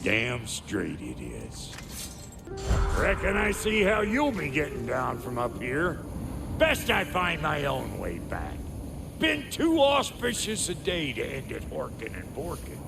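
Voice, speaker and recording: A man with an American accent voicing a gruff, brash, foul-mouthed dwarf blacksmith in a video game, gravelly, folksy and blunt. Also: a little high, huge pitch swings, slow pace, over music, full character voice. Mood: cocky, gruff. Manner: folksy, blunt, colourful made-up slang. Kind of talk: video game character acting.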